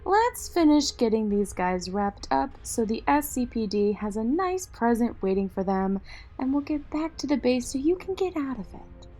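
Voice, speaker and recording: A woman playing a tough, teasing female vigilante in an audio drama, with a husky, breathy voice and wry manner. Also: fairly high pitch, sing-song, moderate pace, dark tone. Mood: warm teasing.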